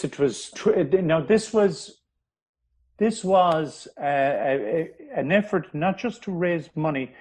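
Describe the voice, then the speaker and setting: A man, a historian, telling history in an interview, pausing often with ums and restarting his sentences. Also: fairly high pitch, darkish tone. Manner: hesitant, many ums and restarts. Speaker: historian guest.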